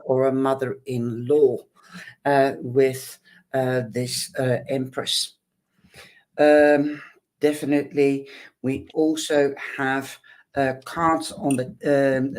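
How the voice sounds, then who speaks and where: A man's voice: fairly high pitch, a little mellow. A man doing a tarot and tea-leaf reading to camera, hesitant and full of ums, speaking fondly to the viewer.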